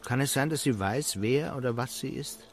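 An actor playing a wry, sceptical colleague in a radio drama, speaking in a dry, blunt, teasing way. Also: male voice, medium pitch, normal speed, soft background music, close to an everyday voice.

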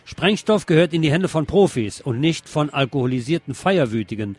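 A man's voice: a little high, animated, fairly quick, darkish tone, breathy edge, no room sound. A man presenting scripted news commentary as the host of a show.

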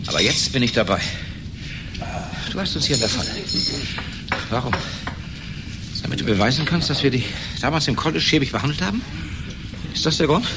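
A man's voice: medium pitch, animated, brisk, long pauses, very noisy, lightly acted. An actor in a radio play playing an exasperated, indignant man who speaks in emphatic, clipped sentences. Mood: resigned, impatient. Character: exasperated, indignant man trapped by an old college friend.

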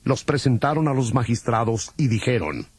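A man's voice: mid-range voice, big pitch swings, moderate pace, breathy edge, no room sound. A man narrating a dramatized Bible from a script. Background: music.

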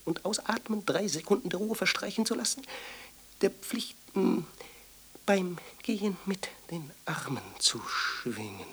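A woman in a radio drama playing an eccentric, exalted and fussy ascetic, breathy and theatrical, halting between muttering and exclaiming. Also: on the low side, lively intonation, exaggerated voice. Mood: fussy, interrogating. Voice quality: husky, breathy. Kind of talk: literary radio drama monologue.

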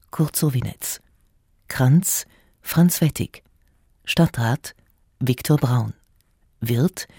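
Announcer for radio credits: dark, husky tone, formal and measured, very dry sound. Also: mid-range voice, dry recording.

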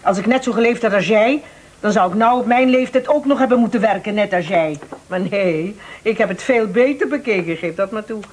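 Woman doing a sharp-tongued old auntie, stingy n condescending, radio play, bit of hiss. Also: medium pitch, fairly quick, natural voice. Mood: tart, indignant. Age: older. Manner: condescending, coaxing. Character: thrifty, sharp-tongued old aunt, needling her brother. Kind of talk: Dutch radio play acting.

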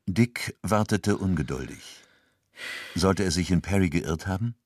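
A man's voice: deep voice, a little slow, darkish tone, no echo, studio quality. A man narrating a crime radio drama from a script as its storyteller.

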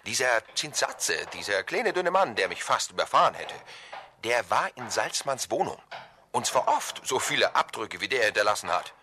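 A dry, dutiful police subordinate in a radio play. He reports matter-of-factly in a bright, tense voice with a lot of pitch movement and faint noise behind. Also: very animated, normal speed. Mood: dry, insistent. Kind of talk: radio drama acting.

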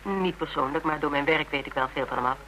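A cool, practical woman in a radio play. Her voice is bright and clear-cut, her delivery conversational, wry and measured as she counsels. The older recording carries some background noise. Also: sits low, low-quality recording. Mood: wry, probing. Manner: matter-of-fact, wry, measured. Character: Tera, cool practical worldly woman giving frank advice. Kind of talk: Dutch radio drama acting, conversational.

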